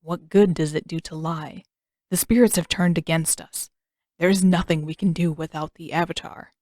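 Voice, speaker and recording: A woman's voice: sits low, steady tone, normal speed, darkish tone, dry recording, studio quality, pitched below the performer's own voice, close to an everyday voice. A woman voicing a grim, weary male village leader who speaks in a solemn, resigned manner in a story. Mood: bitter, grim.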